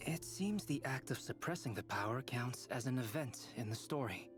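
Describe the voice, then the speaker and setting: An actor playing a calm, scholarly young man in a video game, explaining his reasoning in a measured way. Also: medium pitch, music in the background, natural voice. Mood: thoughtful. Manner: measured, analytical.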